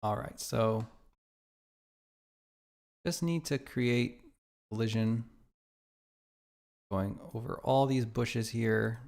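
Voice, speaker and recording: A man's voice: medium pitch, drawn-out words, gravelly, long pauses, a little mellow. A man streaming his game development live, chatting casually, thinking aloud and reacting to viewer chat.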